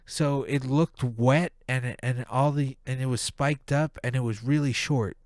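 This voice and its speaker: Nasal, stuffed-up man ranting slowly. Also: mid-range voice, fairly even intonation, darkish tone, no room sound, clean studio sound. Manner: profane, heated ranting. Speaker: podcast host. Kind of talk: casual ranting monologue.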